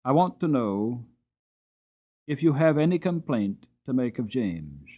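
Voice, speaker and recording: A man voicing a grave but kindly Victorian master of the house in an audiobook, measured, formal and genial. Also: medium pitch, very animated, phone-quality sound. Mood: grave, questioning. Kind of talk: dramatic audiobook character reading.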